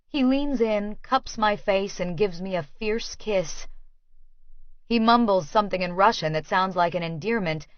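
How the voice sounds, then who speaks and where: A woman's voice: mid-range voice, no echo, lo-fi sound. A woman reading a scripted first-person novel as an audiobook narrator.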